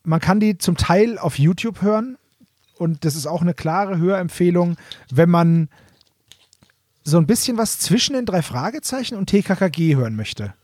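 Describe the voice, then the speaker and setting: A man co-hosting a podcast in casual chat, often halting and repeating the starts of his phrases. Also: high voice, animated, darkish tone, no room sound. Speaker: podcast co-host. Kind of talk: casual chat about audio dramas.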